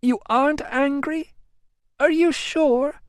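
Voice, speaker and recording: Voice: sits high, fairly even intonation, takes it slowly, no room sound, studio quality, voice pushed up. A narrator voicing a self-pitying young Roman woman who pleads and complains in tearful bursts. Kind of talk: audiobook acting.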